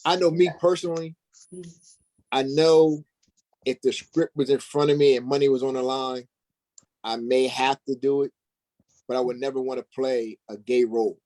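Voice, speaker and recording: A man's voice: medium pitch, fairly even intonation, normal speed, long pauses, a little mellow, low-quality recording. A man speaking casually as a guest actor in a panel chat. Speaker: stage actor, interview guest.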